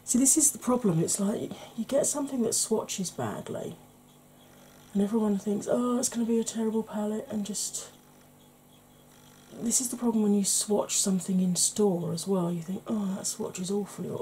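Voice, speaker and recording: A middle-aged woman with a Welsh lilt talks close to the camera in a gentle, often whispered ASMR style. Her voice is mellow and of middling pitch, chatty and a little sarcastic, with a faint hiss of room noise under it. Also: normal speed, low-quality recording. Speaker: beauty YouTuber. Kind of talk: ASMR makeup tutorial. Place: indoors at home, face in close-up.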